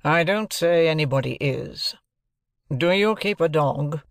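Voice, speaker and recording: A man's voice: a little high, expressive, a little slow, dry recording, clean studio sound, pitched above the performer's own voice. A man voicing a brusque, stern middle-aged lawyer who speaks in curt, clipped, commanding sentences. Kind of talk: audiobook character voice.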